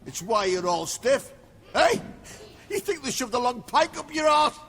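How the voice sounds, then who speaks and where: Rowdy crude bandit, extremely high-pitched, raspy, jeering, video game, reverberant. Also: male voice, big pitch swings, measured pace, fairly bright tone, exaggerated voice. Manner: boisterous, crude. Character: loud, jeering male outlaw joker. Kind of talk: video game cutscene acting. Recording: long reverb.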